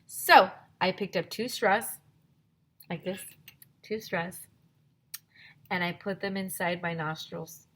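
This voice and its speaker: Woman, lower-pitched voice, teacher, children's read-aloud, expressive emphasis. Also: takes it slowly, pauses often, a little hiss or hum.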